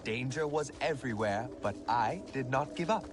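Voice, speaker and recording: An actor playing a wry, eccentric old alien hermit in a video game, speaking in poetic, whimsical phrases. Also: male voice, medium pitch, expressive, moderate pace, over music, close to an everyday voice. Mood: solemn, reminiscing. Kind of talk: video game cutscene acting.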